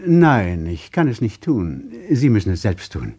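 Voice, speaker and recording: An actor playing a smiling, evasive, brisk Soviet travel official in a radio play. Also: male voice, on the low side, wildly swooping pitch, dark tone, slightly rough, full character voice. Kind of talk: German radio play acting.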